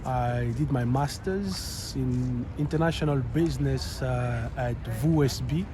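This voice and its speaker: A young man from Rwanda hosting a casual Q and A vlog outdoors in a city, chatty and relaxed. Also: mid-range voice, a little slow. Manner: relaxed, chatty, loose and upbeat. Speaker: YouTube channel host. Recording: outdoor city noise and traffic. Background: street and traffic noise.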